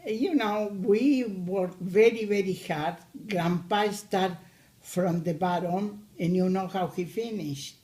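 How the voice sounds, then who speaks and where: Elderly Argentine-accented grandmother in her eighties, unhurried, halting delivery. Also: medium pitch, warm tone. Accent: Argentine Spanish. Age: 80s. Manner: slow, hesitant, many pauses. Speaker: grandmother interviewee. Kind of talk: recorded family interview.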